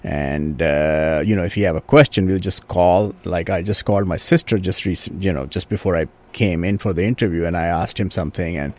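Earnest Pakistani-born man, lowish voice. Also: brisk, few pauses, a little mellow. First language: Urdu. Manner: earnest, thoughtful, with frequent restarts and "you know". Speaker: guest, orthopedic surgeon. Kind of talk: radio interview answers.

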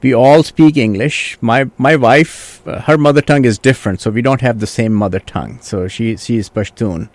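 A man's voice: medium pitch, normal speed, few pauses, dry recording. A man from Pakistan, a native Urdu speaker, answering radio interview questions as a guest in an earnest, thoughtful way, often restarting his sentences. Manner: earnest, thoughtful, with frequent restarts and "you know". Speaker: guest, orthopedic surgeon.

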